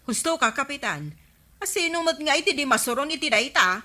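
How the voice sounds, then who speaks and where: A woman's voice: sits high, animated, brisk, sharp tone. A woman with an Ilocano accent playing a hot-tempered, aggrieved village creditor in a radio comedy drama, indignant and whining. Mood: complaining.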